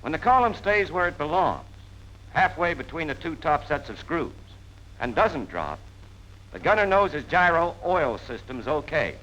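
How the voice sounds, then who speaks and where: Lively American man narrating 1940s training film. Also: a little high, normal speed. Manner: plain-spoken, punchy, colloquial instructor delivery. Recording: noisy 1940s film soundtrack.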